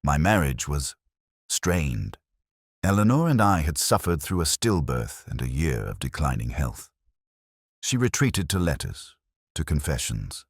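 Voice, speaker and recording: A man voicing a weary, guilt-ridden elderly English magistrate in a story, confessing in halting, formal phrases. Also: rumbling low, very animated, breathy edge, no room sound, studio quality, heavily acted. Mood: bitter, subdued.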